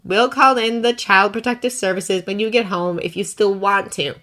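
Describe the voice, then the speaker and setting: A woman reading a story voices a sharp, exasperated female assistant who scolds. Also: medium pitch, fairly even intonation, normal speed, close to an everyday voice.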